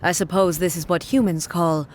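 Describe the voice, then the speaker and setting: Woman voicing a calm godlike warrior queen from a game, formal n measured, slight hiss behind. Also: lowish voice, close to an everyday voice. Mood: solemn. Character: stately divine female ruler and warrior. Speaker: game character voice. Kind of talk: dubbed game dialogue.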